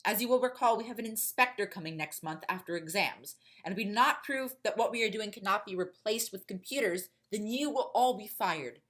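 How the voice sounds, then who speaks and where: A woman's voice: mid-range voice, fairly quick, hardly any pauses, a bit bright, lightly acted. A woman voicing a stern, cold male school principal near retirement in an audio drama, curt and officious. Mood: firm, curt.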